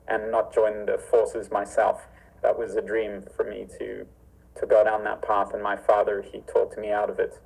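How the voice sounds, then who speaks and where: A man's voice: on the low side, gravelly, darkish tone, poor audio. A man born in Zimbabwe, a stunt performer guest on a remote call, talking earnestly and solemnly with many restarts. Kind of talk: earnest interview talk.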